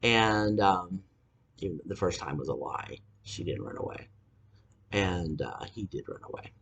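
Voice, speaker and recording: Husky 40s guy vlogging indoors, lots of ums, angry and cussing, lowish voice. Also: lively intonation, fairly bright tone. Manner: halting, many fillers, angry and profane. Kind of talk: confessional storytelling to camera. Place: indoors, dim room.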